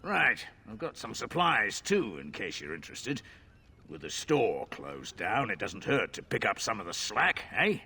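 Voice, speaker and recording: Gravelly, cynical tavern keeper. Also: male voice, fairly low pitch, very animated, measured pace, bright, forceful tone, a little hiss or hum, full character voice. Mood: brusque. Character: gruff, cynical, self-serving tavern keeper. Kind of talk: fantasy video game voice acting.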